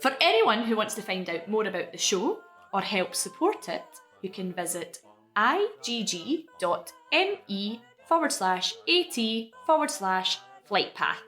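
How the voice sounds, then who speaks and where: A woman's voice: medium pitch, big pitch swings, measured pace, a bit bright, faint music behind. A woman reading a scripted promotional announcement for a podcast.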